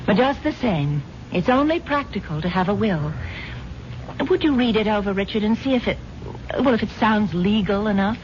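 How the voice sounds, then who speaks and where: An actress playing a naive, wealthy wife who talks sweetly and gushingly and flares up into indignation, in a 1970s radio drama. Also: female voice, mid-range voice, animated, moderate pace, close to an everyday voice. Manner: gushing and breathy-sweet, prone to sudden indignation. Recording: muffled old radio broadcast with hiss.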